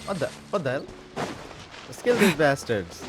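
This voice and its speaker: Casual streamer: unhurried, halting, jokey, very varied intonation, music in the background. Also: medium pitch, sing-song. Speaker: game streamer. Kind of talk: casual gameplay commentary. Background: game music.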